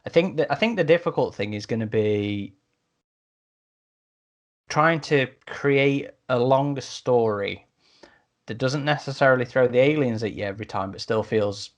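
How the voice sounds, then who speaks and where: A man's voice: medium pitch, normal speed. Hesitant man in a podcast discussion. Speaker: podcast panelist.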